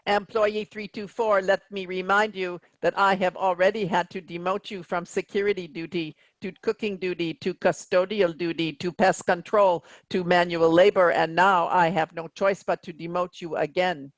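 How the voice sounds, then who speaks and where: A woman's voice: fairly low pitch, steady tone, normal speed, hardly any pauses, no room sound, lightly acted. A woman in a play reading, voicing a stern, coldly officious automated spaceship captain. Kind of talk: staged comedy play reading.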